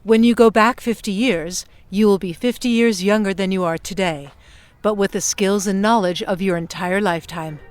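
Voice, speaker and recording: A woman acting as a prim emissary from the future in an audio play. She speaks at a middle pitch with formal, exact wording, staying composed and persuasive as she lays out terms. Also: fairly even intonation, moderate pace, dry recording, close to an everyday voice. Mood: earnest, assured. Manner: formal, precise, businesslike, persuasive.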